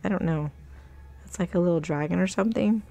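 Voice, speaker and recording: Woman, low gravelly voice, casual shopping vlog chatter, upbeat, phone-recorded in a store aisle. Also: fairly quick, mellow. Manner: chatty, upbeat, reads out prices. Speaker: shopping vlogger. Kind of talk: casual shop-with-me narration. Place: inside a large retail store.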